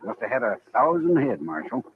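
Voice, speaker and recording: A man's voice: mid-range voice, sing-song, fairly quick, close to an everyday voice. An American man acting a genial, respectable town banker in a radio Western, polite and genteel. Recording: tinny, hissy old radio recording.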